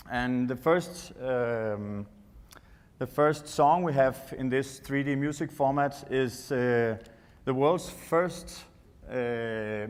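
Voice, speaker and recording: A Danish man with a Danish accent giving a live product presentation in a hesitant, explanatory way. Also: mid-range voice. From Denmark. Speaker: tech presenter. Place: large indoor conference stage. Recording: echoey hall PA reverb.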